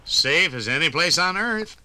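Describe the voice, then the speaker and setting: A man's voice: fairly high pitch, expressive, measured pace, sharp tone, no echo. An American man as a folksy 1950s radio announcer, play-acting his way through a sponsor skit.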